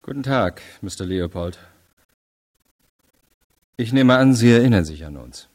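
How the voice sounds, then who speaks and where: A persistent male prosecutor in a radio drama. His voice is on the low side, and his delivery is formal, measured and pressing. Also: lively intonation, a little mellow. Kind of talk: radio play acting.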